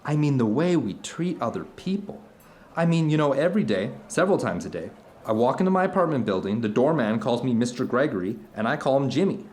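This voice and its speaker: Philosophical dinner companion, male actor, earnest and searching, widely varied pitch, emphatic, naturalistic audio drama. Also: lively intonation, fairly quick, a little mellow, natural voice. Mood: urgent, philosophical. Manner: emphatic, searching, asks rhetorical questions. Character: earnest, philosophical man telling anecdotes over dinner, urging his friend.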